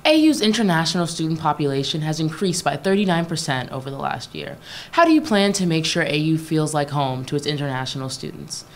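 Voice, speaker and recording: Young woman moderator, lively and low. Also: fairly quick, few pauses, slight background noise. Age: young adult. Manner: brief, formal. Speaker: debate moderator. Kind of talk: reading questions.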